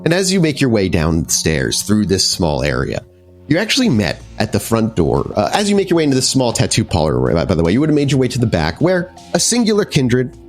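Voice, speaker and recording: A low-voiced man runs a tabletop horror game with lively intonation. He improvises wordy, descriptive scenes and slips in casual asides, with music underneath. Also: brisk.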